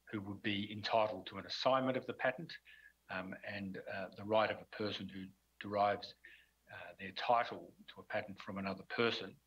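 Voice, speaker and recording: A man speaks in a low, flat voice with a husky edge. He answers a panel question formally and haltingly, filling the gaps with ums and uhs. The recording is dull and lo-fi. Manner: hesitant, many ums and uhs. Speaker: conference panelist. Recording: dull lo-fi sound.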